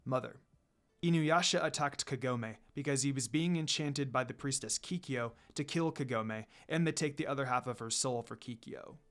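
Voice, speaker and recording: A man's voice: mid-range voice, moderate pace, fairly bright tone, faint music behind, close to an everyday voice. A man voicing a proud, protective demon lord in a story, a formal and firm but dutiful son. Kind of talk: storybook character reading.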